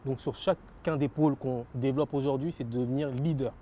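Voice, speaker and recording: A man's voice: medium pitch, fairly even intonation, a little fast, mellow, a little hiss or hum. A young French man giving earnest interview answers about his rap label, repeating phrases and using many fillers. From France.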